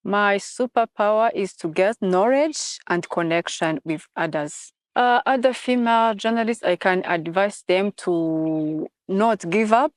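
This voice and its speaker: A woman's voice: mid-range voice, unhurried, few pauses, no room sound, clean studio sound. A young woman from Rwanda, a freelance journalist, giving a testimonial with simple, hesitant phrasing. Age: young adult. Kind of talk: testimonial interview.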